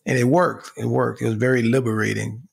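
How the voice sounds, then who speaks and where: A middle-aged man speaking casually as a podcast guest, his voice hoarse from screaming, laughing often. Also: medium pitch, level tone, brisk. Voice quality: gravelly, husky. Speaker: podcast guest, company CEO. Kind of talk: casual conversation.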